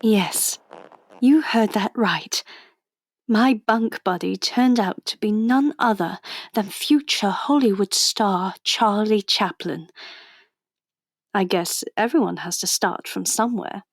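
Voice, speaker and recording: A woman's voice: medium pitch, slow pace. A woman playing a wry, motherly undercover agent, confiding as narrator of a scripted audio drama.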